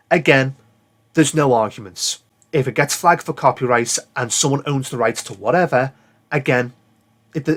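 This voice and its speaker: A British man giving casual, rambling commentary as a gaming video creator, plain-spoken and given to swearing. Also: a little high.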